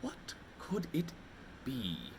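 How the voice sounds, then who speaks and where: Man reading as a slow, fretful storybook homebody. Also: medium pitch, huge pitch swings, creaky, background noise, heavily acted. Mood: puzzled. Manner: slow, thoughtful musing. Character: pondering, cosy-living, fretful man in a storybook.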